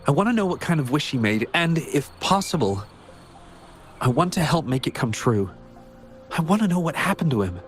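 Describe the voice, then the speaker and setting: A man's voice: on the high side, sing-song, moderate pace, faint music behind. A man voicing an earnest, caring young male researcher in a video game. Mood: concerned, reflective. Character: earnest young male researcher worried for an estranged childhood friend.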